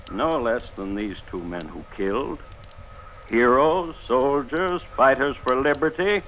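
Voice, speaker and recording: Proud bitter ex underground chief, forceful and declamatory, hissy old radio play. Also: male voice, mid-range voice. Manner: declamatory, clipped, formal phrasing. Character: proud, bitter former resistance leader confessing. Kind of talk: 1950s radio drama acting. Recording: noisy old radio broadcast recording.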